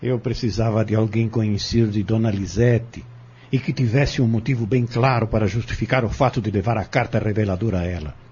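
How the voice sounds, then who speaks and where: An actor playing a cunning, vengeful defendant giving deliberate, defiant testimony in an audio drama. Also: male voice, on the low side, steady tone, a little mellow, breathy edge. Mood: cold, calculating. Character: cunning vengeful male defendant confessing in court. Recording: dull lo-fi audio.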